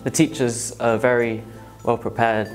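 A young man from England with an English accent, a music student answering in a relaxed, easygoing way. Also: medium pitch, a little slow. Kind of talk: casual interview answers.

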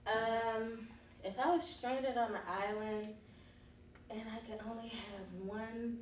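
A woman's voice: fairly high pitch, steady tone, slow, distant mic. Brief: young woman moderator, chatty and teasing, heard from across an echoey conference room, background noise. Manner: chatty, laughs easily, teasing. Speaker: focus group moderator. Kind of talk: casual group discussion. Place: large conference room, indoors. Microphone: far camera.

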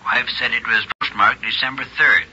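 A man's voice: mid-range voice, steady tone, moderate pace, sharp tone, husky. An actor playing an earnest, worried father helping detectives in an old radio drama. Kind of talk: 1940s radio acting. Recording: dull vintage radio broadcast.

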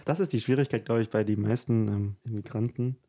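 A man in his twenties who grew up in Ukraine, talking thoughtfully as a podcast guest, with frequent fillers and soft laughs. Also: on the low side, fairly quick. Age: 20s. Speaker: guest musician. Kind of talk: conversational podcast interview. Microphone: headphones, studio mic at home.

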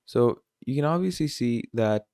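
A man giving a casual screen-recorded software tutorial, filling his talk with "obviously" and "you're gonna". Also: mid-range voice, animated, normal speed, clean tone, no room sound, clean studio sound. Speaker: tutorial presenter.

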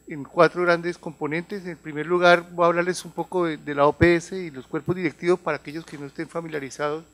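A Colombian man in his fifties speaks as an advisor presenting at a conference. His voice sits slightly higher than most men's. He explains point by point in a semi-formal register, often halting and repeating a phrase before he moves on. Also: fairly bright tone. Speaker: health organization advisor giving a talk. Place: large conference room. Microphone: handheld or table microphone.

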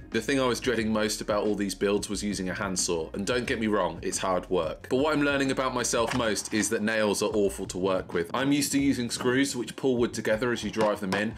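A man's voice: fairly low pitch, background noise. A British man in his thirties talking informally and self-deprecatingly as he narrates his own woodworking video outdoors. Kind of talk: casual DIY vlog commentary. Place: outdoor paved garden patio by a field.

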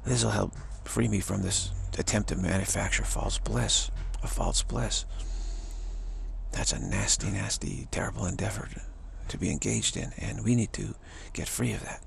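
A man giving a rambling, informal spiritual monologue in short, halting phrases that trail off. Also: fairly low pitch, creaky, slight background noise. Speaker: spiritual vlogger.

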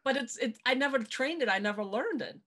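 A woman's voice: high-pitched, lively intonation, fairly quick, fairly bright tone. A middle-aged woman from Germany, hosting a motivational video-call interview and stressing her advice with repetition. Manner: emphatic, repeats key advice. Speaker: podcast host. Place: home room with dark backdrop. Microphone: webcam on video call.

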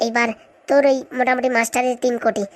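A woman's voice: on the high side, flat delivery, fast talker, natural voice. A woman voicing a greedy, scheming village woman in a cartoon comedy, chatty and bickering in colloquial speech.

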